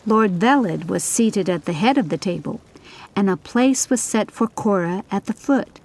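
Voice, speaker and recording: A woman's voice: mid-range voice, lively intonation, moderate pace, vocal fry, a little mellow, rough recording. A woman reading scripted audiobook narration of a story.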